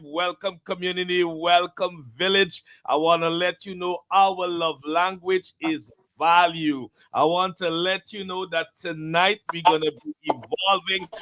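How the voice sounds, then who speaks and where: A man's voice: high voice, sharp tone, poor audio. A man from Guyana, a pastor hosting a live talk show, welcoming listeners in a preacher-like, repeating style.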